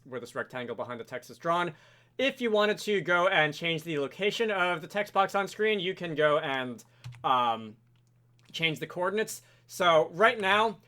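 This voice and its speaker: Male tutorial presenter, slightly higher-pitched and bright, informal and explanatory, talking viewers through code. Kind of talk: casual screen-recorded coding tutorial.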